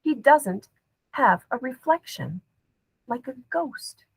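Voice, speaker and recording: A woman's voice: high voice, lively intonation, slow pace, vocal fry, slightly rough, dry recording. A woman narrating a children's story expressively from a script, acting out the drama as an audiobook reader. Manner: dramatic, acts out dialogue.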